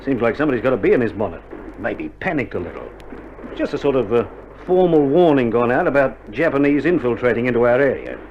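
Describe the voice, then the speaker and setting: Breezy Australian army doctor, very animated. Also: male voice, medium pitch, fast talker. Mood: breezy, reassuring. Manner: casual, slangy, playing down danger. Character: breezy, reassuring male army doctor in wartime field hospital. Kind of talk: 1960s radio drama acting. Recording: muffled old vinyl drama, loud battle noise.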